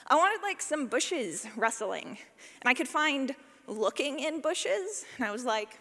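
A woman's voice: high voice, big pitch swings, measured pace, bright, forceful tone. A woman giving a casual, conversational workshop talk as a sound designer, with a wry, playful, self-deprecating manner. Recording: long hall reverb.

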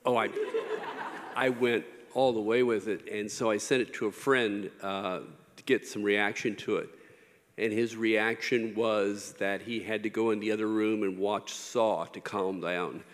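Man, fairly low pitch, casual audience questioner, wry halting delivery, reverberant room. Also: steady tone, background noise. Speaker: audience member asking a question. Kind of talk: casual anecdote leading to a question. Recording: reverberant hall sound.

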